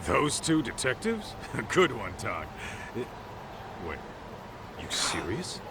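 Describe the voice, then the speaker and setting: An actor playing a brash, jovial ex-gangster sidekick in a video game dub, with an American accent and a blunt, teasing manner. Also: male voice, mid-range voice, wildly swooping pitch, takes it slowly, background noise. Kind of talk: English game dub acting, casual banter.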